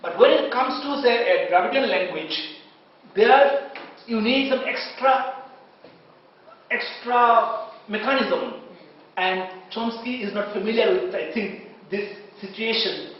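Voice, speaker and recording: A man's voice: high-pitched, slow, pauses often, slight background noise. A man with an Indian English accent giving a university lecture, often searching for words with fillers and restarts. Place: lecture hall. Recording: far-off, very echoey, dull lecture hall recording.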